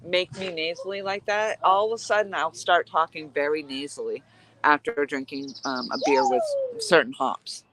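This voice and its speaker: A woman's voice: sits low, wildly swooping pitch, moderate pace, noisy background. A woman with a nasal voice, chatting casually and rambling as she vlogs outdoors while fishing. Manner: chatty, rambling, laughs easily. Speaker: vlogger. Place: outdoors at a lakeside camp.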